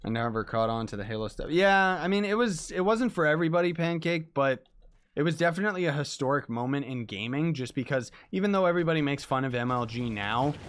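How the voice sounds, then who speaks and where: Hoarse young man streaming. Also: fairly high pitch, fast. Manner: chatty, excitable, laid-back, heavy use of "like" and "dude". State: hoarse, losing voice from illness. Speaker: game streamer. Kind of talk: casual livestream chat while gaming.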